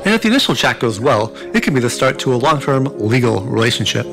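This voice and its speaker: A man doing a comic promo video voice-over with deadpan delivery. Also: medium pitch, fast, gravelly. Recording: voice-over with loud music bed.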